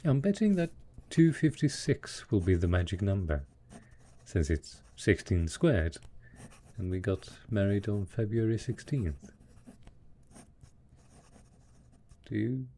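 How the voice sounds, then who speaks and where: A man's voice: lowish voice, big pitch swings, a little slow, dark tone, slight background noise. A man playing a devoted post-apocalyptic husband in an intimate audio drama, speaking tenderly and patiently as he coaxes his monstrous spouse. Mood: wistful, measured. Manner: tender, coaxing, patient, full of pet names.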